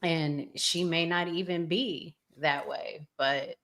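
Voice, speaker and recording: An American woman co-hosting a casual chat, speaking thoughtfully and hesitantly with trailing pauses. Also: deep voice, steady tone, fairly bright tone, slight background noise. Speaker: podcast co-host.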